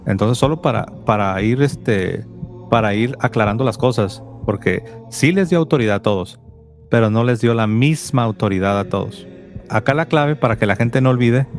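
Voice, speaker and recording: A man with a Mexican Spanish accent and a fairly low voice hosts a call-in show. He explains doctrine in a steady, teaching manner. Faint music plays underneath. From Tijuana, Mexico. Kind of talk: apologetics call-in talk.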